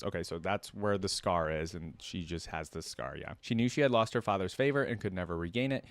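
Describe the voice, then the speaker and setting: Low-voiced man reading and reacting. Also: dry recording. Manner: casual, expressive, interjects own reactions. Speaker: reaction video host. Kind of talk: reading a story aloud with off-the-cuff fan reactions.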